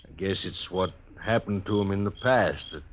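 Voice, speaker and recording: A man's voice: deep voice, moderate pace, natural voice. A man with a rural Western American accent playing a stubborn, fatalistic stagecoach station keeper in a 1950s radio western, laconic and blunt. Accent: rural American West. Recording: vintage radio with faint noise.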